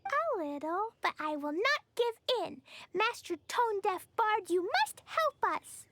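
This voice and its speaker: Very high, childlike little adventurer girl. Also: very animated, slow, fairly bright tone, dry recording, exaggerated voice. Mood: earnest, determined. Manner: exclaiming, childlike, speaks in third person. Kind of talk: video game voice acting. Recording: music behind the voice.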